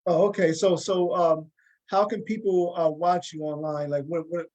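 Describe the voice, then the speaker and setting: A man's voice: on the high side, steady tone, normal speed, a little mellow, muffled recording. A man hosting a casual interview over a video call, often hesitating and repeating his words as he goes. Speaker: talk show host.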